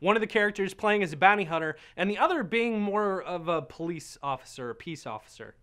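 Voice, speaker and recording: Male reviewer, high voice, very animated, wry. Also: fairly quick. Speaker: game reviewer. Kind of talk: casual review narration.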